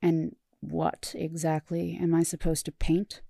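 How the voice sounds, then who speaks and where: A sulky, sneering male robot jet, as a woman narrator puts him on, drawling and deadpan. The voice is low and gravelly, dark in tone, recorded dry and close. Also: a little slow, no echo, full character voice.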